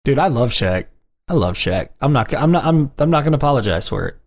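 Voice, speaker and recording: A man's voice: mid-range voice, very animated, fairly quick. A man co-hosting a podcast in casual chat, jokey and laughing often. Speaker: podcast co-host.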